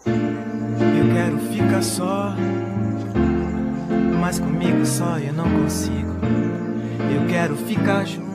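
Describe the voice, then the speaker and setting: A woman's voice: on the low side, slow, long pauses. A woman singing in a children's cartoon. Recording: loud music accompaniment.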